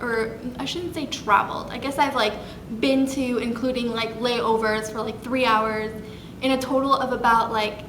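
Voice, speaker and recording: A woman's voice: high-pitched, sharp tone. A woman in her twenties chatting casually on a vlog, bubbly, with lots of fillers and self-corrections. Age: 20s. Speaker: vlogger. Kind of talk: casual chat listing facts. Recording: echoey room with background noise.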